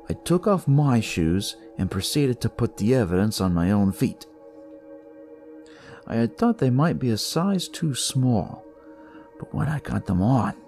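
A man's voice: medium pitch, gravelly, mellow, exaggerated voice. A man voicing a detective who tells his story in the first person, conversational and theatrical, as in a dramatic audio story. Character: male detective recounting a sinister, eerie discovery. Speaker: storyteller narrating in character. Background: music.

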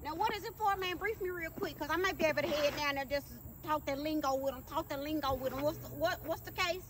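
A woman's voice: high voice, fast, lightly acted. A woman playing a slick, shady self-styled male lawyer in a comedy skit, talking evasively in repetitive street slang. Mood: harried, evasive. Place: outdoors beside a shipping container. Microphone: phone camera from a few meters away. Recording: distant outdoor phone audio with noise.

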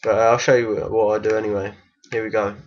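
A man with a croaky voice from being unwell gives a casual, hesitant game tutorial voice-over. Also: lowish voice, flat delivery, fast, muffled recording. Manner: hesitant, many ums and restarts. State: croaky from illness. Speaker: game guide maker.

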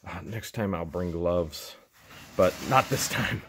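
A middle-aged man giving excited running commentary as he explores a big cluttered warehouse, filmed on a handheld camera. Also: low voice, takes it slowly, slight background noise. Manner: enthusiastic, exclaims often, thinks aloud. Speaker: vlogger picking for resale. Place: large cluttered indoor warehouse.

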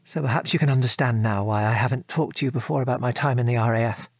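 A man's voice: medium pitch, fast, breathy edge. Audio drama: British ex-RAF father, reflective, hesitant, genteel, haunted by remorse, music underneath. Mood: remorseful, haunted. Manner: hesitant, reflective, genteel old-fashioned turns of phrase. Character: remorseful, modest English father, former RAF bomb aimer. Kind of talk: audio drama acting, reminiscing monologue.

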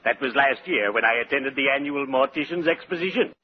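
An actor playing a morbidly genteel undertaker in a 1940s radio sitcom, formal, deliberate and drily macabre. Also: male voice, medium pitch, natural voice. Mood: solemnly droll. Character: Digger, morbidly genteel, cheerful male undertaker. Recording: very noisy, muffled 1940s radio recording.

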